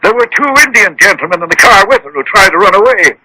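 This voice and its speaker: Tough police lieutenant, radio mystery, blunt, clipped, piercing tone, husky edge. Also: male voice, sits high, lively intonation, quick pace, pushed and bright, full character voice, rough recording. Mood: brisk. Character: brusque, tough, no-nonsense male police lieutenant.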